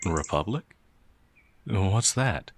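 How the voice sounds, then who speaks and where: A man voicing a gentle, confused, ancient werewolf in an ASMR roleplay, soft-spoken and polite, with hesitant stammering. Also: low-pitched, animated, full character voice. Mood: confused, anxious. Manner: halting, hesitant, bewildered stammering. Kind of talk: ASMR roleplay audio drama.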